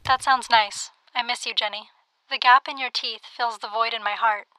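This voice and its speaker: A woman's voice: fairly high pitch, fairly even intonation, moderate pace, sharp tone, no echo, rough recording. A woman playing a long-suffering phone relay operator, formally reciting messages in an audio drama. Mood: dutiful reciting.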